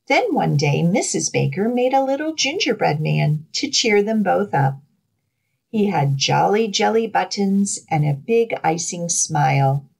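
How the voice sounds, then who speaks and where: A woman reading a picture book aloud to children in an expressive, dramatic storytelling manner. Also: medium pitch, gravelly, husky. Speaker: children's librarian, storytime reader.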